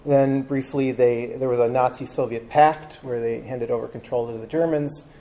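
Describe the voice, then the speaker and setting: Relaxed man with a mellow voice. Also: mid-range voice, moderate pace, rough recording. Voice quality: relaxed, hesitant with frequent ums. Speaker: travel talk presenter. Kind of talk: casual live talk to an audience. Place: live talk before a seated audience with drinks.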